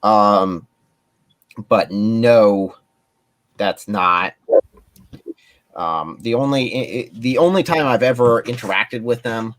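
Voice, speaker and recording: A man's voice: fairly low pitch, slow, long pauses, fairly bright tone. A man hosting a casual livestream chat, speaking earnestly and stumbling over his words.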